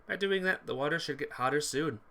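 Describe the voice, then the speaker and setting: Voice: medium pitch, brisk, bright, forceful tone. A streamer reading a visual novel aloud expressively, with casual asides to viewers. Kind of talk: game playthrough narration with chat asides.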